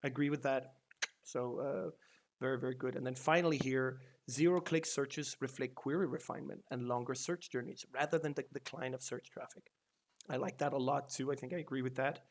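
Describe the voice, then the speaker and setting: A man's voice: mid-range voice, steady tone, brisk. A man hosting a casual news roundup on his channel, talking loosely, with many ums and false starts. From Denmark. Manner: loose and rambling, lots of ums and restarts. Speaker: SEO news channel host.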